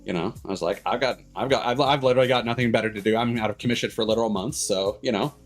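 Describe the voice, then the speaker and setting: A man hosting a livestream in casual chat, rambling and haltingly backtracking mid-sentence. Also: medium pitch. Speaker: streamer host. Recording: music playing behind the voice. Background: background music.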